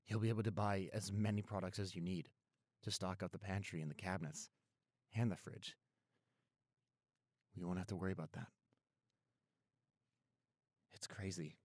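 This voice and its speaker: A man playing a caring, apologetic young boyfriend in an intimate roleplay audio, speaking earnestly and gently. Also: low voice, no echo, studio quality, close to an everyday voice. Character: apologetic, caring young boyfriend soothing his upset girlfriend.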